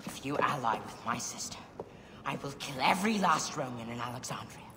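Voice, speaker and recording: A man's voice: medium pitch, lively intonation, a little fast, lightly acted. An actor playing a petulant young boy king in a video game, hot-tempered, suspicious and threatening. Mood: enraged. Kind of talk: video game cutscene acting. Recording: echoing hall with background noise.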